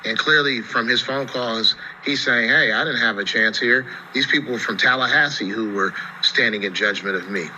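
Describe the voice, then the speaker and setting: A man with an American accent and a very bright, sharp voice at a middle pitch gives legal commentary. He explains in a conversational, rhetorical way. Some noise sits behind the voice. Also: normal speed, few pauses, highs cut off. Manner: explanatory, rhetorical questions, frequent "you know". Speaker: attorney, TV legal analyst.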